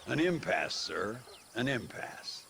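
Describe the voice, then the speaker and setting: A man's voice: mid-range voice, sing-song, slow pace, creaky, a bit bright, a little hiss or hum, full character voice. A man playing a genial, wry frontier gambler in a video game cutscene, florid and courteous. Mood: placating.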